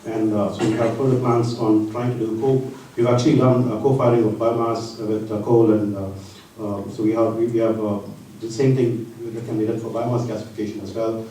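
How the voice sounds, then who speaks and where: A man presenting slides at a conference, talking in a halting way with frequent pauses mid-sentence. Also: on the low side, little pitch movement, warm tone. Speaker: research center presenter. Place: large conference hall. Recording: echoey hall from far off, faint hiss.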